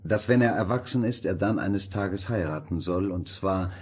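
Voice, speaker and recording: An actor playing a refined, pompous gentleman, sly and measured, in a fairy-tale radio play. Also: deep voice, slightly rough. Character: smooth-mannered, condescending noble gentleman, probing and sly.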